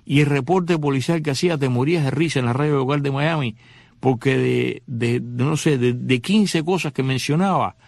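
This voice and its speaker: A man's voice: medium pitch, moderate pace, gravelly, darkish tone, no echo. A man hosting a radio talk show, talking casually and directly to the listener, full of fillers and asides. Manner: conversational, many fillers, addresses listener directly. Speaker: radio host.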